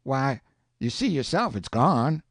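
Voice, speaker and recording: Smug lecturing country boy, man reading it, lively up and down, dry close sound. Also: medium pitch, takes it slowly, no echo, heavily acted. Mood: superior. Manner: lecturing, superior, rustic dialect. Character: know-it-all boy, calm and superior.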